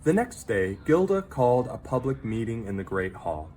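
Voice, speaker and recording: A man reads a children's story aloud in an expressive, dramatic storyteller manner, pausing for effect. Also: mid-range voice, a little hiss or hum. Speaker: teacher reading a picture book aloud.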